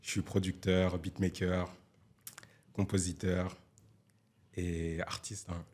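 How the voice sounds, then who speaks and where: A man's voice: deep voice, little pitch movement, takes it slowly, a little mellow. A twenty-three-year-old man, a guest and music producer, chatting in a laid-back, slangy way. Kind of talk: casual chat.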